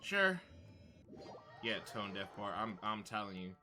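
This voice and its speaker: A man's voice: lowish voice, sing-song, measured pace, pushed and bright, soft background music. A man giving casual, slangy live commentary with wry reactions while streaming a video game.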